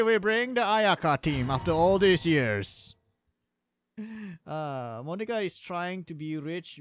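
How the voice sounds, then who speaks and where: A man giving casual livestream game commentary in a halting, joking way, often repeating his words. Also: a little high, fairly bright tone, clean tone, dry recording. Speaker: live streamer. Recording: game music behind the voice.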